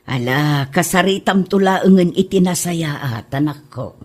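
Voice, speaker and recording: A woman's voice: lowish voice, a little slow. A woman playing an apologetic middle-aged village mother in an Ilocano comedy drama. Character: apologetic village mother, soft on her daughter's suitor.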